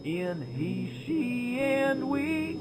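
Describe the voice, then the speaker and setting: A woman's voice: high voice, big pitch swings, very slow, music in the background, full character voice. A woman singing a children's phonics song in an educational video, played back within a recording.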